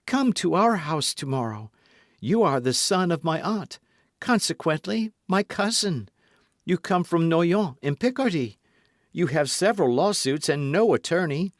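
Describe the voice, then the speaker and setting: A man's voice: a little high, expressive, measured pace, no room sound, studio quality, voice pushed up. A man reading an audiobook, voicing a proud, anxious lawyer's wife in a raised, pleading voice. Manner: piqued, pleading.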